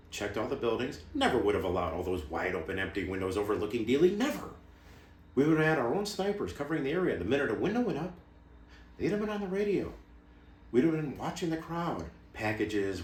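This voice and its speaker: Low-voiced, guarded ex-military insider acted by a man. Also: slight background noise. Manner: measured, confiding, emphatic, clipped phrases. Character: shadowy ex-military intelligence insider, guarded, cynical, revealing secrets. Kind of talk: dramatic film monologue performance. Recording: roomy, echoing room sound.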